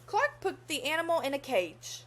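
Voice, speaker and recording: A woman's voice: high-pitched, very animated, fairly bright tone. A young woman reading a children's story aloud expressively, in a teacherly manner. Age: young adult. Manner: teacherly, pauses to pose questions to young listeners.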